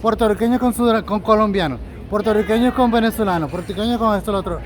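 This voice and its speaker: A man's voice: high voice, quick pace. A man interviewing people at an outdoor street parade, speaking in an outspoken, emphatic way and calling out to the people around him. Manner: outspoken, emphatic, addresses the crowd.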